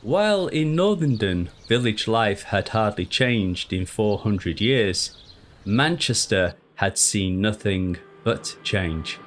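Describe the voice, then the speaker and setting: A man reading a scripted ghost story in a measured, deliberate, formal storytelling manner. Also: fairly low pitch, lively intonation, slight background noise. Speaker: narrator. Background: music.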